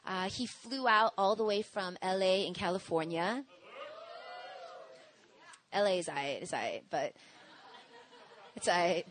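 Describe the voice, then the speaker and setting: A woman's voice: fairly low pitch, slow pace, pauses often, some chatter behind. A woman introducing the guest speaker at a church, playful and upbeat, joking lightly.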